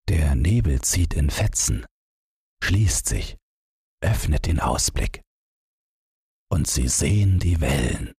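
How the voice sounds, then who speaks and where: A man narrates a literary story in an extremely low, gravelly voice. His delivery is measured and dramatic, taking its time with each phrase. The sound is very breathy and husky. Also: darkish tone, no room sound, studio quality. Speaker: audiobook narrator.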